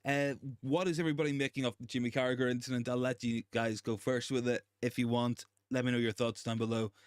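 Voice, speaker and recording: A young man, hoarse and gravelly from a recent virus, hosting a casual live chat and reading out viewer comments, with many ums and repeated phrases. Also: medium pitch, little pitch movement, fast talker, dry recording, studio quality. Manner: repeats himself, frequent ums and restarts. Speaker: livestream host.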